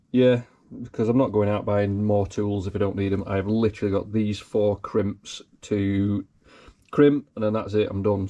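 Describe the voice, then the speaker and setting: A man with a slightly croaky voice, casually talking himself through wiring a car in his garage. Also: on the low side, warm tone. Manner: talks himself through each step, thinking aloud. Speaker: car hobbyist. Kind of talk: casual workshop commentary. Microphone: camera mic close to his hands.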